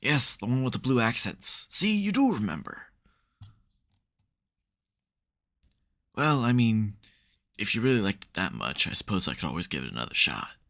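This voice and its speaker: A man's voice: lowish voice, big pitch swings, fairly quick, creaky, a bit bright, exaggerated voice. A man playing a smug, theatrical supervillain who secretly cares, in a dramatic, wry, chatty way for an ASMR roleplay. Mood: exasperated, flustered.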